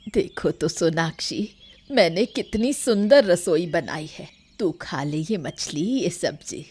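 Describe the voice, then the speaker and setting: A woman voicing a kindly old village woman, humble, gentle and motherly, in a cartoon story. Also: fairly low pitch, expressive, takes it slowly, breathy edge, exaggerated voice. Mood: caring. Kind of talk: Hindi cartoon moral story dialogue.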